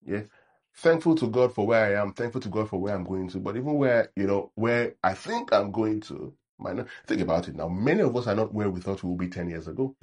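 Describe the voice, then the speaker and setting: Low husky Nigerian male pastor. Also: expressive, fairly quick, darkish tone. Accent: Nigerian English. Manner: conversational preaching, frequent "right?" check-ins; acts out sample prayers in a mocking tone. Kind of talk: sermon.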